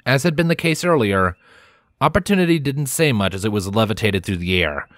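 A man's voice: on the low side, animated, fairly quick, fairly bright tone, dry recording, studio quality. A man reading a scripted story as its narrator. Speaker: audiobook-style fan fiction narrator.

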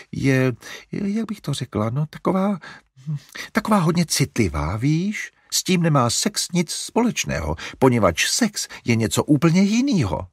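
Man doing a high, fretful fussing mother. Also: husky, no room sound, studio quality. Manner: flustered, coaxing, hesitant. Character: fussy, fretful middle-aged mother.

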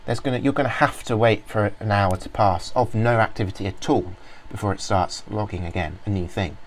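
A man casually talking through a software demo, rambling with many fillers and restarts. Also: lowish voice, fairly quick, slight background noise. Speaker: software reviewer. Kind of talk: informal screen-recorded walkthrough.

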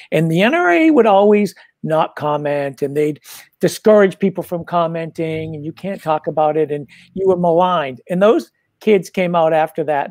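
A man's voice: a little high, darkish tone, dry recording, studio quality. A middle-aged man speaking earnestly and emphatically as a guest in a political discussion over a video call. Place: home room with bookshelves. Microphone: video call with earbuds.

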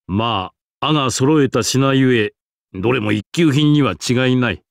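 A man's voice: medium pitch, unhurried, creaky, no room sound, clean studio sound. A man voicing a proud, genial old king in a game, with a stately, archaic way of speaking. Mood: approving, genial. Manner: stately, archaic, self-satisfied. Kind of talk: Japanese game character voice acting.

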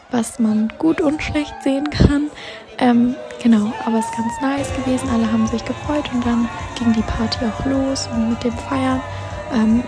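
A woman in her twenties from southwestern Germany, narrating a student vlog in a relaxed, chatty voice-over. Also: on the high side. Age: 20s. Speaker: student ambassador vlogger.

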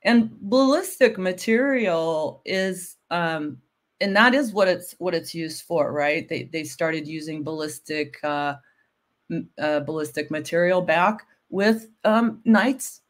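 Slightly hoarse woman explaining fabrics. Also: fairly low pitch, lively intonation, slow. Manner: explanatory, often adds "right?" and "um". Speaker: guest expert on textiles. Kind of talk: casual livestream chat. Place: home room with window blinds. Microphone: video call.

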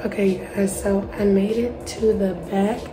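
A young woman, chatting casually to her viewers in a vlog, congested and hoarse from a cold. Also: mid-range voice, fairly even intonation, unhurried, over music, low-quality recording. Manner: chatty, addresses viewers as y'all. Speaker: vlogger. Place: parked car.

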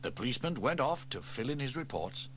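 A man narrating a children's audio story in an expressive, animated read-aloud manner. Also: medium pitch, fairly quick, slight background noise.

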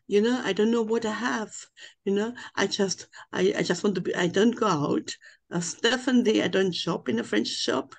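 Older woman, German accent, gravelly voice, rambling halting speech, video call audio. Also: mid-range voice. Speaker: webinar participant. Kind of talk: rambling personal account.

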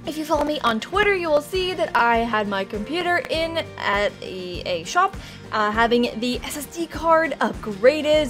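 A young woman hosting a Minecraft let's play, chatting excitedly to viewers while her voice is raspy from allergies and she keeps clearing her throat. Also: high-pitched. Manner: chatty, excitable, addresses "you guys" often. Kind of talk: casual gameplay commentary.

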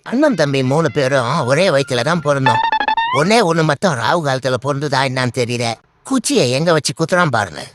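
A man's voice: medium pitch, expressive, fairly quick, lightly acted. A man voicing a cheeky, boastful male animal in a comic dub, with colloquial, mock-dramatic delivery. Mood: griping. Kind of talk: comic dubbing of funny animal clips. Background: music bed.

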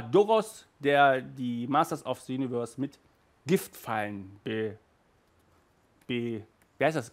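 A man in his thirties giving a chatty, animated video review from a small room, speaking into a headset mic. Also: on the high side. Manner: chatty and animated, gestures with hands. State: hot and sweaty in a stuffy room. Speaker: audio drama reviewer. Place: small room with toy shelves, indoors.

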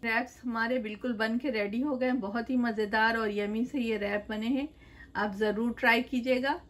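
A woman's voice: fairly high pitch, fairly even intonation, normal speed. A middle-aged woman hosting a cooking channel, talking viewers through a recipe step by step in a chatty, direct way from her home kitchen.

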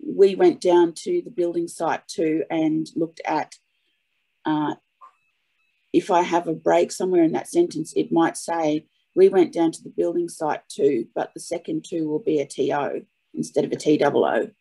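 Woman, webinar participant, husky and dark-toned, casual first-hand account. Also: low voice, fairly even intonation, moderate pace, poor audio. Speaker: webinar participant, audio typist. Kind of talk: casual spoken account of own experience.

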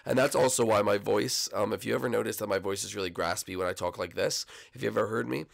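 A young man chats casually over gameplay footage, his voice hoarse and froggy. He rambles with plenty of ums and uhs, and a gravelly fry runs through his speech. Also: lowish voice, fairly even intonation, fast. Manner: rambling, many fillers. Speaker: gaming channel host. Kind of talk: casual video commentary.

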